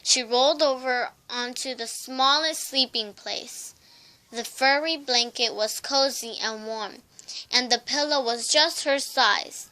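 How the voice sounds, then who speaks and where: A young woman narrates a children's storybook. Her voice is high and bright, and she reads slowly and carefully, sometimes repeating a word. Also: poor audio. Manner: careful reading with stumbles and repeated words. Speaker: storybook reader.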